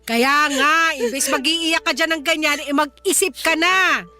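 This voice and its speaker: An actress with a Filipino accent playing a blunt, nagging older mother in a radio drama, sharp-tongued and easily offended. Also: high voice, measured pace, heavily acted.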